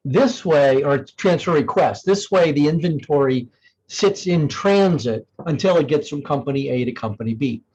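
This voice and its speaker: Higher-voiced man giving a software demo, dull audio. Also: lively intonation, moderate pace, husky. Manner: conversational, explanatory, thinking aloud. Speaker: software demonstrator. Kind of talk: informal software demo walkthrough.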